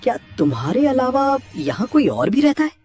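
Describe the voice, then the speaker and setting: A woman plays an insistent, suspicious man in a horror cartoon. The pitch moves up and down a great deal as he questions and demands. Light music plays behind. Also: sing-song, normal speed, darkish tone. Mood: suspicious, urgent. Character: demanding, suspicious man in a haunted-house tale. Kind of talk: dramatized horror cartoon story.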